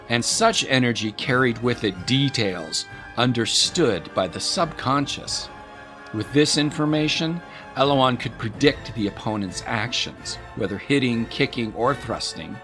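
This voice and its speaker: A man delivering dramatic scripted narration for an audio drama in a measured, descriptive storytelling manner. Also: mid-range voice, a bit bright. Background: music bed.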